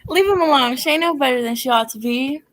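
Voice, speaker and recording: Woman playing a scrappy working-class street woman, high voice, colloquial, brash, radio play. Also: expressive, quick pace. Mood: scolding. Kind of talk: 1940s radio drama acting.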